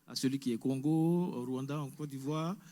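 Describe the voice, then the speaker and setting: A man's voice: mid-range voice, moderate pace, dark tone. Man presenting in an echoey hall. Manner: explains step by step, mixes English words into French. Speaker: immigration presenter. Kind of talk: information session presentation.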